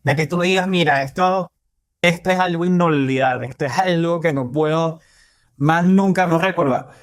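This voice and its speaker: A man with a Venezuelan accent hosting an interview, asking questions in a measured conversational style. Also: fairly high pitch, dry recording, studio quality. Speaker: interview host.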